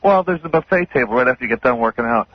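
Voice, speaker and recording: A man's voice: mid-range voice, lively intonation, very fast, no room sound. A middle-aged man chatting casually on a radio phone-in, accommodating and self-deprecating. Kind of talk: casual on-air phone chat. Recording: muffled lo-fi phone call on radio.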